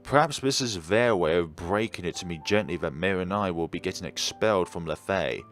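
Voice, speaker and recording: A man's voice: low-pitched, brisk, fairly bright tone, soft background music, pitched below the performer's own voice. A man reading a young female student's anxious inner thoughts aloud in his own voice. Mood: worried. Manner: worried, self-doubting.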